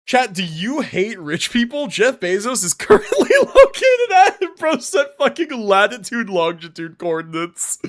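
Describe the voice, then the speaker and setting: An American man chatting casually on a live game stream in an animated, slangy, joking way. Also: fairly high pitch.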